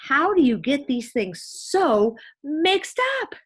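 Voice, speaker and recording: A woman's voice: high-pitched, sing-song, takes it slowly, pitched above the performer's own voice, heavily acted. A woman voicing a bossy, exasperated lady of the house in a children's storybook, exclaiming and demanding. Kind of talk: children's storybook read-aloud.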